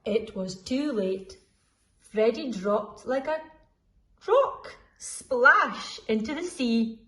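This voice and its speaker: A woman reading a children's story aloud expressively, deliberately drawing out the dramatic sound words. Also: fairly high pitch, lively intonation, pushed and bright. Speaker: school storyteller.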